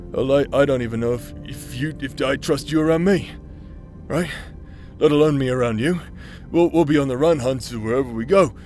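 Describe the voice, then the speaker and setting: A man acting a drunk, self-loathing, protective villain with a halting, foul-mouthed, emotional delivery in an audio roleplay. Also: mid-range voice, lightly acted.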